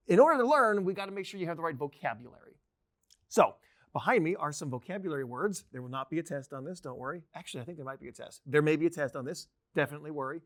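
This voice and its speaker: Higher-voiced man teaching. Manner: conversational, explanatory, with playful asides. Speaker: training instructor. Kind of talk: informal instructional presentation to camera. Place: indoor training video studio, seated at a desk. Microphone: lavalier clipped to shirt.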